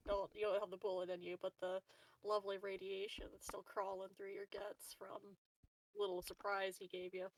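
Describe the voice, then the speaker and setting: A woman at a tabletop game, talking in character in an informal, wry way. Her voice sits at an average pitch and is recorded very dry, with little room sound. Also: level tone, normal speed, no echo, poor audio. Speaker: tabletop roleplaying game player.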